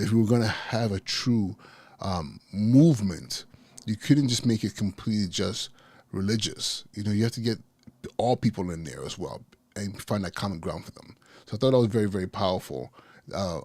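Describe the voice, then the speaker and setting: A man's voice: on the low side, fairly quick, a little mellow, slightly rough, no echo. A man in his forties hosting a podcast, talking casually and stuttering, with frequent repeats and restarts. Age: 40s. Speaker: podcast host, school principal.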